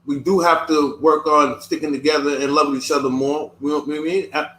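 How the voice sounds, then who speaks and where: A man who was born in the United States, talking in a casual discussion, halting and often repeating his words. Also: a little high, fairly even intonation, a bit bright. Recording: echoey room.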